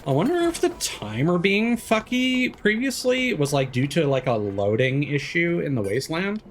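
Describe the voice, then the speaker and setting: A man's voice: fairly high pitch, lively intonation, moderate pace, a little hiss or hum. A man streaming a video game with casual, musing and mildly critical live commentary. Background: video game music.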